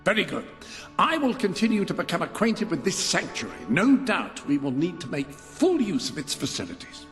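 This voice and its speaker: An actor playing a fussy, learned and loyal British butler in a video game, formal and wordy. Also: male voice, high-pitched, sing-song, moderate pace, faint music behind. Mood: marvelling, proper. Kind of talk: video game cutscene acting.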